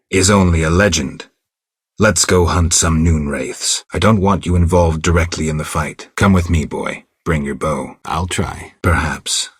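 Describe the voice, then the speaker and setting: Game casting: world-weary witcher, rock-bottom bass register, gravelly, terse and deadpan, recorded very dry. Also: no room sound, heavily acted. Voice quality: gravelly, husky. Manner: terse, restrained, deadpan delivery. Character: stoic, world-weary male monster-hunting witcher. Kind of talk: video game character dialogue.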